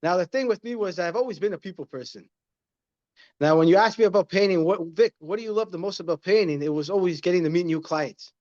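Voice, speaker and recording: Earnest motivational man, somewhat high voice. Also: fast, darkish tone, highs cut off. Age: 30s. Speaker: guest, inspirational speaker. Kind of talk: casual interview conversation.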